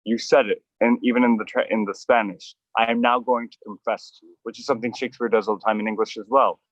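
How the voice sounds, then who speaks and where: A man hosting an outdoor acting coaching session, animated and emphatic, with frequent restarts. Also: medium pitch, quick pace, no echo, highs cut off.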